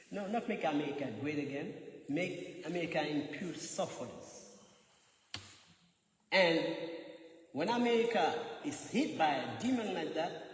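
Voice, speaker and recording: A man with a French accent lectures in a temple hall, his voice a little higher than most men's. He jokes and laughs often, repeating words as he builds to each point. The sound is roomy and echoey, picked up from some distance with a faint hiss behind. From France. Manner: emphatic, repeats words while searching for them. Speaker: speaker giving a scripture class. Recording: echoey hall, mic from far off, faint noise.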